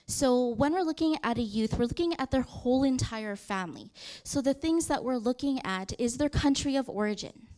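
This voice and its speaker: A woman in her thirties gives a conference presentation, pitched a bit higher than most women. She talks conversationally, often pausing with fillers and correcting herself mid-sentence. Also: darkish tone. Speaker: youth program presenter. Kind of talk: conference presentation walking through slides.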